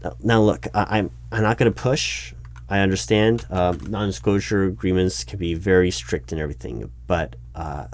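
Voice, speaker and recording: Guy with a lowish voice doing a job interview roleplay as the recruiter, polite but doubtful, stumbles and hesitates a lot, not whispered. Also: a little mellow. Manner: halting, stammering, hesitant. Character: awkward, skeptical but polite male hiring manager. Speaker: roleplay performer as recruiting manager. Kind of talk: one-sided interview roleplay, ASMR spoken out loud. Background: computer keyboard typing.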